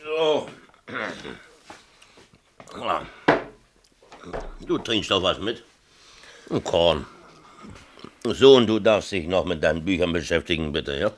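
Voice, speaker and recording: An actor playing a middle-aged mayor in a 1970s radio drama, chummy, colloquial and patronizing while evasive. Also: male voice, sits low, expressive, measured pace, slight background noise. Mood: welcoming, brisk. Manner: familiar, colloquial, brushing off with hearty chumminess.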